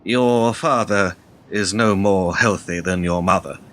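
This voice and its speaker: An actor in a radio drama playing an ageing, smooth-talking political fixer, cynical, measured and self-assured. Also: male voice, deep voice, a bit bright. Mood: grave, persuasive.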